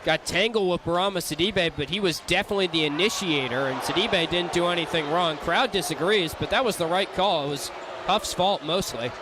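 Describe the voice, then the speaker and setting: A man's voice: high voice, a little fast. A man giving live game analysis as a color commentator. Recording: noisy arena with crowd.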